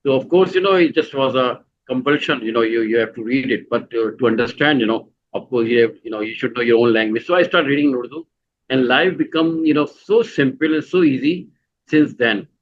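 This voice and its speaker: A middle-aged man with a Pakistani accent, answering interview questions in a halting way, full of "you know" fillers and restarts. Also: mid-range voice, lo-fi sound. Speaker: guest.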